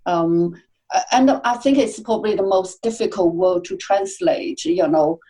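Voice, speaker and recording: A woman guest on a podcast, speaking English. She talks very slowly and thoughtfully, pausing on uhs. Her voice is low and warm. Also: poor audio. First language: Chinese. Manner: thoughtful, hesitant with many uhs. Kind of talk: casual interview chat.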